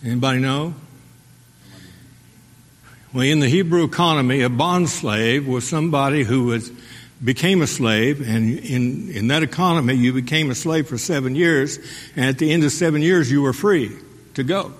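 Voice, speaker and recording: A man preaching a sermon as pastor, asking the congregation rhetorical questions and pausing for their response. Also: medium pitch. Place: church sanctuary. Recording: long hall reverb.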